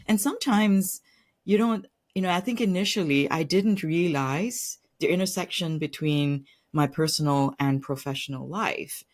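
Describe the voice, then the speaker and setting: A woman's voice: sits low, normal speed, darkish tone. A woman from Singapore, a guest on a podcast, telling her career story in a reflective, fluent way, often adding "right?" and "you know". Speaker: tech executive. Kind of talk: casual interview storytelling.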